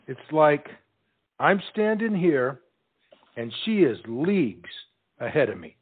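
An actor playing a bashful, slow-witted young soldier in love, halting and earnest, in a stage comedy. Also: male voice, fairly high pitch, sing-song, phone-quality sound, a little hiss or hum. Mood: flustered, bashful.

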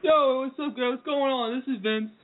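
A man doing a high-voiced prank caller on the phone. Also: moderate pace. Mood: casual. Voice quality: high, girlish. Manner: casual, crude, playful. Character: prank caller posing as a guest. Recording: tinny phone line.

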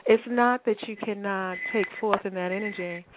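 A woman's voice: mid-range voice, fairly even intonation, moderate pace, background noise. Woman host teaching over a phone line. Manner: reflective, teacherly, with restarts. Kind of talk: spiritual call-in radio talk. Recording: phone-line call-in audio.

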